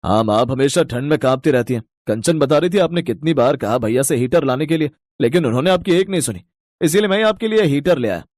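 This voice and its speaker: A man's voice: fairly high pitch, expressive, fast talker, a little mellow, dry recording, clean studio sound, natural voice. A man voicing humble, earnest working men in a cartoon family story, explaining himself plainly. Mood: caring.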